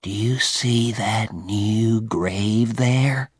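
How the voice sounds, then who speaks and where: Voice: mid-range voice, very slow, breathy edge, no echo, studio quality. A reader voicing a frightened young boy who whispers anxiously in a graveyard. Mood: scared whisper. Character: frightened boy Huck, jittery.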